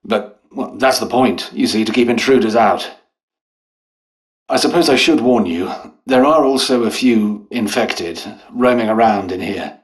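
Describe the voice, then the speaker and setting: An actor playing a polite, eccentric British doctor and scientist in a video game, with refined, hesitant, apologetic and understated speech. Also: male voice, on the low side, unhurried, close to an everyday voice, rough recording. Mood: regretful. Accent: British English. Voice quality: refined, well-spoken. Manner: courteous, hesitant, understated, chatty.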